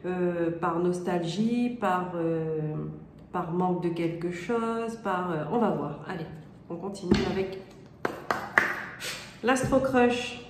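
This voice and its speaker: A woman's voice: lowish voice, slow. A woman with a cold and a stuffy nose, giving a casual, hesitant tarot reading full of fillers, often checking "d'accord?". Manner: hesitant, many fillers and rephrasings. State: has a cold. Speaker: tarot card reader. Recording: roomy echo with faint room noise.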